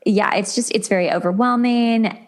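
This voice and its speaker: A woman's voice: a little high, moderate pace. A middle-aged woman hosting a podcast, talking casually and conversationally with an effusive, enthusiastic manner. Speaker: podcast host.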